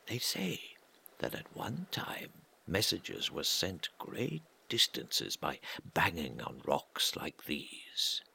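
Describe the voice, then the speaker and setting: A man with an extremely low, gravel-filled voice narrates a literary story. He lingers between short phrases, often trailing into breath, and his pitch swings very widely. Faint noise and ambience sit underneath. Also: wildly swooping pitch, slow, pushed and bright. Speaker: reader of a literary audio story. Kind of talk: slow atmospheric literary narration.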